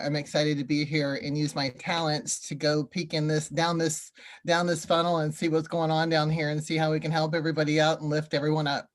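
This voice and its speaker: Even-toned American woman, medium-low register. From northern California, USA. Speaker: new commissioner. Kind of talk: informal self-introduction. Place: online video meeting. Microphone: video call.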